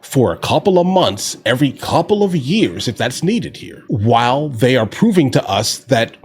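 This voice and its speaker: A man's voice: medium pitch, very animated, moderate pace, darkish tone. A man hosting a reaction video, giving casual, conversational commentary as he explains his opinions.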